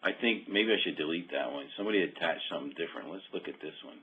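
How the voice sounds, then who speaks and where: A man talking informally through a software demo on a remote call, often muttering to himself as he works. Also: low voice, rapid-fire. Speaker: software demonstrator. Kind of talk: casual screen-share demo talk. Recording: dull narrow-band call audio.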